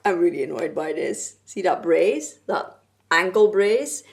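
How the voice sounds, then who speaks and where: A woman's voice: fairly low pitch, animated, measured pace, breathy edge. A woman from Belgium with a Flemish accent, a native Dutch speaker, chatting casually as a vlogger in a giggly, playful, self-interrupting way. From Flanders, Belgium. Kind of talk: casual chatty craft vlog.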